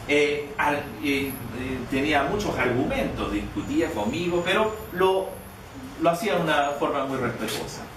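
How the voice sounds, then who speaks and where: A man with a fairly high voice preaches a sermon, telling a personal story in a halting, conversational way. He restarts and repeats words often. The recording is distant and echoey, with clear background noise. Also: a bit bright. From Canada. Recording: echoey, distant, noisy room.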